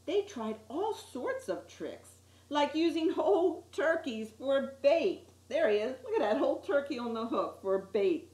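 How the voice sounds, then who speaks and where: A woman reading a children's picture book aloud as an expressive storyteller, with little asides to her listeners. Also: sits high, unhurried. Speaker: story time reader. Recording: echoey room.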